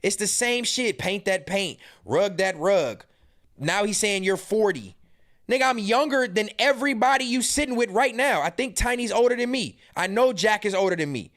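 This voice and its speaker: A man's voice: sits high, lively intonation, moderate pace, a bit bright. A man in his thirties hosting a podcast, giving heated, profane commentary as he reacts to a stream clip and mocks the people in it. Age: 30s. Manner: profane, mocking, confrontational. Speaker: podcast host.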